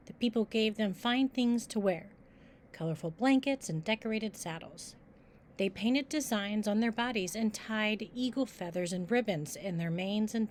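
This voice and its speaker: A woman reading a picture book aloud in a bright, even way. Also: a little high, a little hiss or hum.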